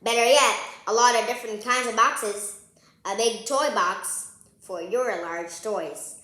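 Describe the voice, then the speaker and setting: Woman reading as an encouraging storybook papa bear, practical, bright voice, echoey room. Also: a little high, a little slow.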